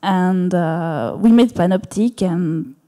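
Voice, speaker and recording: A woman's voice: fairly low pitch, mellow. A woman on a live panel, speaking hesitantly with restarts and fillers. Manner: hesitant, halting, with restarts and laughs. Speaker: panelist, animation director and game artist. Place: small venue stage before an audience.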